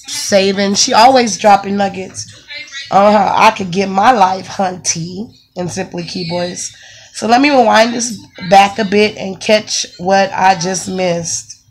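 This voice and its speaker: A woman in her thirties with a raspy voice from the flu, chatting casually to her phone camera at home, with lots of ums. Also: mid-range voice, slight background noise. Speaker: vlogger, stay-at-home mom. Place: home bedroom, indoors. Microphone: phone camera held close.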